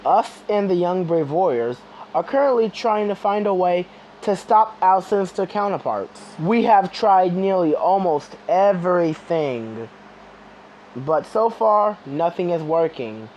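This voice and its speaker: A person reading a scripted emergency broadcast in a deliberate, formal announcing manner. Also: mid-range voice, lively intonation, a little mellow, slight background noise, poor audio.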